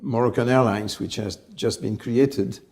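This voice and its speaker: A man, telling his life story in an interview, measured and reflective, often pausing to find his words. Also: mid-range voice, steady tone, a little mellow. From France. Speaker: company head, interview guest.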